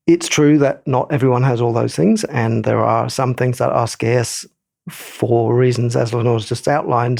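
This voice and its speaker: News podcast panellist: man, mid-pitched, gravelly fry, tentative conversational analysis. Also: darkish tone.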